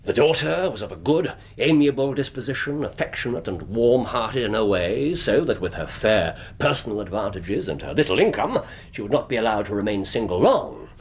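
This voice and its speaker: An actor playing a shrewd, scornful Victorian detective in a British radio drama, English accent, speaking with precise, theatrical delivery. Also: male voice, fairly low pitch, very animated, normal speed, breathy edge, full character voice. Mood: expounding, dry.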